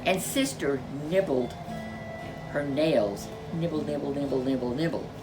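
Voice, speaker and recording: A woman storyteller reading a children's picture book aloud, expressively, with drawn-out emphasis and chatty asides. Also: a bass voice, music in the background, poor audio. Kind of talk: expressive read-aloud narration for young children.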